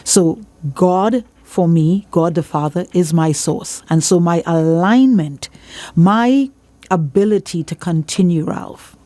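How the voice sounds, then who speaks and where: Mellow Trinidadian woman, emphatic church leader. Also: on the low side, slow, no room sound. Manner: emphatic, repeats phrases for emphasis. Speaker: church leader, interview guest. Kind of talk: conversational interview with preacher-like testimony.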